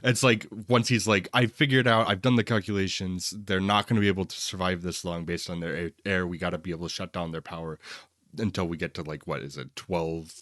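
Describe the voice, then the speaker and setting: Male co-host, very deep pitch, chatty and informal movie talk, dry recording. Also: fairly even intonation, fairly quick, fairly bright tone, no room sound. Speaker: podcast co-host. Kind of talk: casual movie discussion.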